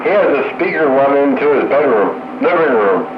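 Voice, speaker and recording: Male radio hobbyist, mid-pitched, casual banter, roomy sound. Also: animated, fairly quick, muffled recording. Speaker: amateur two-way radio operator. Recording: roomy, noisy two-way radio audio.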